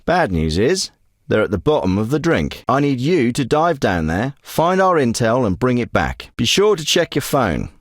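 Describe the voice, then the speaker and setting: A man's voice: medium pitch, very animated, moderate pace, no echo. A man voicing a middle-aged special forces handler in a video game, giving terse, businesslike briefings. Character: clipped, steady male military handler, middle-aged. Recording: dry close studio recording.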